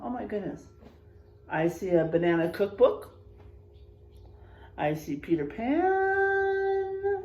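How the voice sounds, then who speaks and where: Animated, high-voiced woman reading to kids. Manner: expressive, drawn-out emphasis on rhymes, exclaiming. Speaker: elementary school teacher reading aloud. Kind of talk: animated picture-book read-aloud with chatty asides to children.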